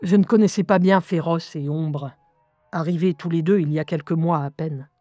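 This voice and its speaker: A woman playing a lively young schoolgirl who narrates her life in a wry, confiding, expressive way in an audio drama. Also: low-pitched, moderate pace, dry recording, soft background music. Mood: confiding narration. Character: lively eleven-year-old schoolgirl recounting life with inner voices.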